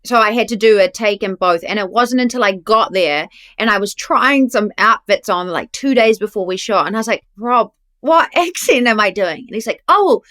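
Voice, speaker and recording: A woman with a New Zealand accent tells stories in a relaxed interview chat. She is lively and swears casually. Her voice sits at a middle pitch. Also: normal speed. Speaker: actress guest.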